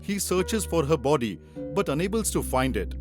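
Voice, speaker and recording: A man's voice: on the high side, sing-song, normal speed, music in the background. A man narrating a children's story as a scripted read.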